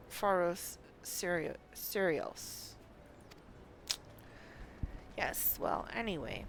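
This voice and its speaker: A woman reading game quest dialogue as a curious, self-important female scholar with a formal, deliberate, sometimes halting delivery. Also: medium pitch, fairly bright tone. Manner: formal, deliberate, halting over odd words. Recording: clearly noisy background.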